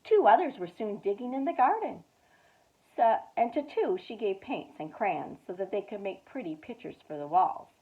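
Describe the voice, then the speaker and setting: A woman youth director reading a children's story aloud at storytime, expressive, with whispered asides. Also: medium pitch, moderate pace. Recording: tinny phone-like sound.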